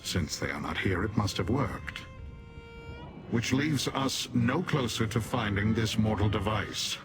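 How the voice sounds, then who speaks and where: A man's voice: fairly low pitch, expressive, unhurried, music in the background. A man playing a stern, haughty demon warrior in a video game, speaking in a formal, commanding manner. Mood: grave, measured. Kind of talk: video game character acting.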